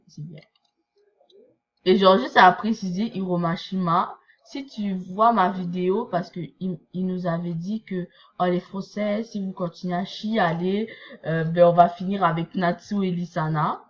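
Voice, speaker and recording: A woman's voice: fairly low pitch, muffled recording. Young Québécois woman, rambling chatter. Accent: Québécois French. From Quebec, Canada. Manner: chatty, rambling, many fillers. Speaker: anime reaction vlogger. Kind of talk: casual live manga reading and reaction.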